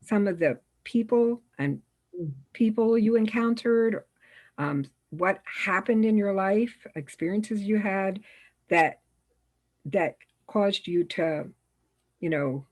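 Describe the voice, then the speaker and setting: A woman's voice: medium pitch, slow pace, a little mellow. A woman in the audience asking a long, hesitant question full of fillers. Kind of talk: casual conversation.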